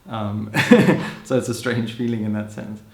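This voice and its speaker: A man in his thirties who grew up in Hong Kong, speaking as an interviewee in a thoughtful, musing way, often adding "you know" and soft laughs. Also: mid-range voice, measured pace. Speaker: interviewee, social anthropologist. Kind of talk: reflective personal account. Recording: roomy echo.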